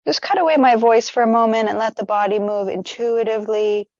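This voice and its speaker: A woman leading a guided meditation with stretches, speaking in a gentle, soothing, unhurried manner. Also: a little high, fairly even intonation, no echo, dull audio.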